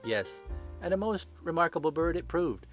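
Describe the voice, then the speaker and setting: A man playing a confident gentleman detective in an audiobook, speaking with brisk authority and dry wit. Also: fairly high pitch, lively intonation, soft background music, voice pushed up, natural voice. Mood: wry. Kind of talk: audiobook character acting.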